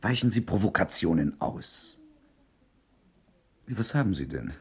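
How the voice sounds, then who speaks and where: A worldly gentleman friend in a radio play. His voice is very deep and husky. He talks in an urbane way, advising and admonishing his companion. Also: sing-song, a little slow, exaggerated voice. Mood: concerned. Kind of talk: German radio play dialogue.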